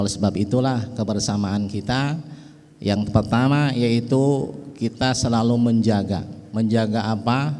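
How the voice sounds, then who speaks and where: Middle-aged man, Betawi accent, folksy ceremony speech, mellow tone, hall echo. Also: fairly low pitch. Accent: Betawi and West Java Indonesian. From West Java, Indonesia. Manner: folksy, with frequent "ya" asides. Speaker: speaker at an organization event. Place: large hall.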